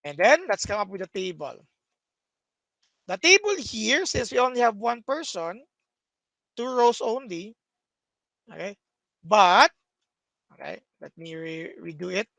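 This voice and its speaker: A man's voice: high-pitched, big pitch swings, unhurried, creaky, pauses often, pushed and bright, no room sound, poor audio. A young man teaching a math lesson over a screen recording, explaining step by step with many "okay"s, recorded at a desk.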